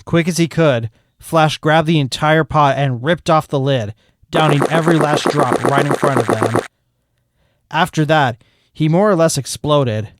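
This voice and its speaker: A man narrating a fan fiction chapter aloud in steady storytelling style. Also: a little high, unhurried, a little hiss or hum.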